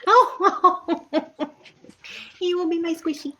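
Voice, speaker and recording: A woman's voice: very high voice, steady tone, very slow, sharp tone. A woman chatting casually as a player in a tabletop game. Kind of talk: casual chat.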